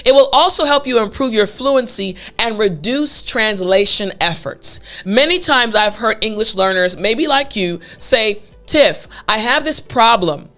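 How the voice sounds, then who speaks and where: A woman's voice: a little high, lively intonation, measured pace, few pauses, fairly bright tone, faint music behind. A young woman teaching English, emphatic and encouraging, repeating her key points. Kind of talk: scripted lesson to camera.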